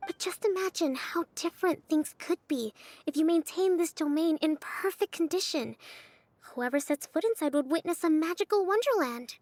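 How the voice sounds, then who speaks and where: A woman's voice: extremely high pitch, animated, moderate pace, darkish tone, no echo. A woman voicing an earnest, commanding small female general in a video game, formal and persuasive. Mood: earnest persuasion.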